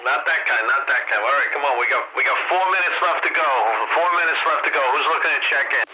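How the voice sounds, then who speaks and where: High-pitched man calling a CB radio check-in. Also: quick pace. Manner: informal, repetitive calling out for check-ins. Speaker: CB radio check-in net controller. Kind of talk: live CB radio net calls and casual banter. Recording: dull CB radio audio with hiss.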